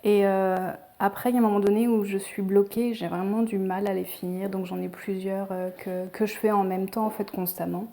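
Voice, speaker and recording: A woman with an accent from France gives a personal, reflective interview. Her voice is soft-edged and mellow with some vocal fry, and her pitch stays fairly level. She pauses mid-phrase as she looks for the next word. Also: steady tone. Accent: French from France. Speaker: painter, interviewee. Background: background music.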